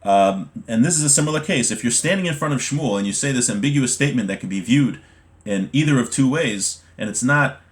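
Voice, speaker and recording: A man's voice: medium pitch, lively intonation, fairly quick. Man teaching a religious text class. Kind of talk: explanatory lesson, reading Hebrew text then translating.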